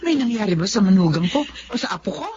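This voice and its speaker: A woman with a Filipino accent playing an excitable, worried middle-aged mother in a radio drama. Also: mid-range voice, fast talker, dull audio, background noise, lightly acted. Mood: worried, prodding.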